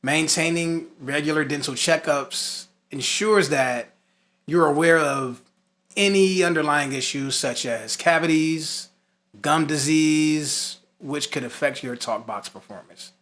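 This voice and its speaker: Bright-voiced man teaching a lesson. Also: fairly high pitch, measured pace. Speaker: music instructor. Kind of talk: instructional lesson, scripted points with casual asides.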